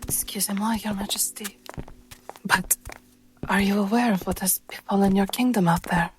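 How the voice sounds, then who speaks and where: A devoted court retainer, acted by a woman in a fantasy game. She speaks formally and decorously, in a very even tone, over clear music. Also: mid-range voice, normal speed, close to an everyday voice, poor audio. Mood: formal concern. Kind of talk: fantasy roleplay dialogue.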